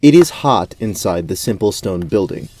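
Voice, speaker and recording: A man with a lowish, dark and mellow voice narrates a fantasy tale. His delivery is measured and descriptive, like the storyteller of a radio play. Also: animated.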